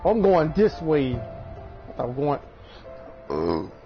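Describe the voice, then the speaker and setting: Male gamer, casual self-talk and counting, very slow, dull audio with music. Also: fairly high pitch, wildly swooping pitch. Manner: muttering to himself, counting aloud. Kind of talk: casual gameplay commentary. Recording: dull game-stream audio with music behind. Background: clear game music.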